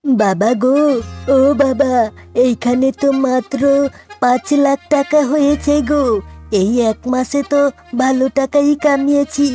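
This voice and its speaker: Woman as a cartoon male fox, high bright voice, slow delivery, lovesick, dramatic, pleading. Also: steady tone, faint music behind. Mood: eager, pleading. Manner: melodramatic, wailing and exclaiming. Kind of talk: cartoon voice acting.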